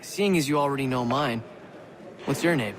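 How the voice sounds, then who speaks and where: An actor playing a wry, evasive prison trickster in a video game, playful and dry in manner. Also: male voice, mid-range voice, moderate pace, a crowd in the background, natural voice. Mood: dry, skeptical. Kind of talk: video game cutscene acting.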